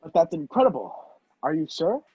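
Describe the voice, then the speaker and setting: A mellow, husky radio-play hero on the run, acted by a man. He is urgent and dryly questioning, with wide swings of pitch. The sound is slightly muffled. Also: big pitch swings, a little fast, no echo. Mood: anxious. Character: wrongly accused man on the run, urgent and impatient. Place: video call, dry room. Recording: muffled video-call audio.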